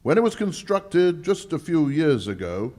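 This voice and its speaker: An actor playing a pompous, formal English lord in a live old-time radio mystery, speaking with declamatory emphasis. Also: male voice, a little high, big pitch swings, a little slow, a little mellow. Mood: grave. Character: pompous English lord guarding the nation's gold vault. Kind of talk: old-time radio drama acting on stage. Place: live stage performance at a conference.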